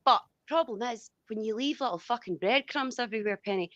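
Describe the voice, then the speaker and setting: A woman's voice: on the high side, brisk, fairly bright tone, dry recording. Dull-sounding sweary Scottish woman. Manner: sweary, blunt, rambling. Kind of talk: casual heated venting chat.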